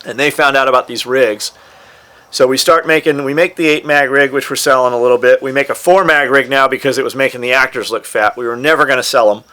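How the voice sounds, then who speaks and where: Offhand, cursing man with middling pitch. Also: fairly even intonation, fast talker, bright, forceful tone. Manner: offhand, profane. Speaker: gear maker and business owner. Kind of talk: casual storytelling about his company.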